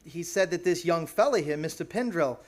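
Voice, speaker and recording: A man's voice: on the high side, animated, brisk. A man playing an eager, deferential but persistent police sergeant in an old-time radio drama. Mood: insistent. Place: theater stage, performed live.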